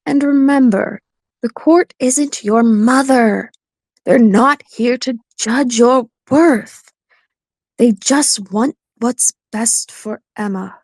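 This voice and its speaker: Lady acting calm practical lawyer in an audio drama, lots of vocal fry, unhurried, reassuring. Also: a little high, no room sound, low-quality recording. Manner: measured, firm, advisory. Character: composed, pragmatic female divorce attorney, reassuring. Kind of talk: community theatre audio play acting.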